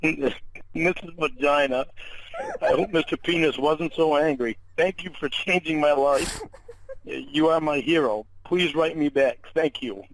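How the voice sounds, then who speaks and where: A man chatting casually on a phone call in an animated, amused way, often exclaiming. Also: fairly high pitch, a little slow.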